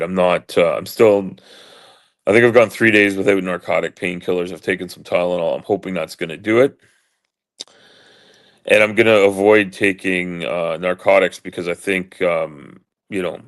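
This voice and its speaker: A man in his late forties with a deep booming voice, talking haltingly with many fillers while in pain and trying to keep his voice even. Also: gravelly. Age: 40s. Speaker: vlogger. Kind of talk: casual monologue.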